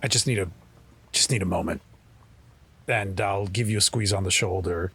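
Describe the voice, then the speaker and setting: A man playing a tabletop roleplaying game, describing his actions and speaking in character in a halting, earnest way. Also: low-pitched, fairly bright tone, slightly rough, faint music behind.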